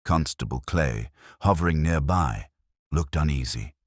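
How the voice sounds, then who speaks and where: Very deep, expressive male narrator. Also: dry recording, clean studio sound. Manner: measured, literary, suspenseful storytelling. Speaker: audiobook narrator. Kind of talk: scripted dramatic mystery narration.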